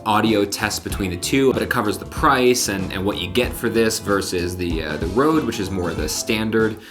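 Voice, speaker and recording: A man with a deep voice, vlogging casually to the camera and explaining gear with frequent restarts. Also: normal speed, music in the background. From Connecticut, USA. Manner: chatty, explanatory, with filler restarts. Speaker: vlogger and magician. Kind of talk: casual vlog talk to camera. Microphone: camera-mounted shotgun mic.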